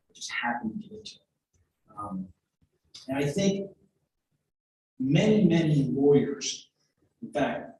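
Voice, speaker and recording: A man's voice: mid-range voice, a little hiss or hum. Middle-aged man, distant and echoey. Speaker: trial lawyer panelist. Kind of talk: panel answer. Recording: echoey room, voice far from mic.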